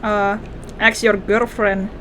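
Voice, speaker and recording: A woman with an Indonesian accent giving casual, expressive reactions as a gaming streamer, switching between languages. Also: medium pitch, slow. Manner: expressive, mixes two languages, repeats game lines. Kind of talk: casual game reaction commentary. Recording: clear background noise from game.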